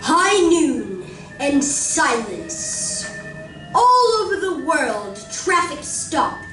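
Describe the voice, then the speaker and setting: A woman narrating a radio drama in a theatrical, deliberate scripted style. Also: high-pitched, fairly bright tone, exaggerated voice. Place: library community room, live performance. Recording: echoey community room, distant, noisy.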